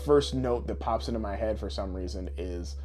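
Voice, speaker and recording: A man's voice: on the low side, moderate pace, darkish tone, soft background music. A man co-hosting a podcast in casual, blunt, slangy chat with plenty of swearing. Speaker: podcast co-host. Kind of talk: casual podcast chat about games and movies.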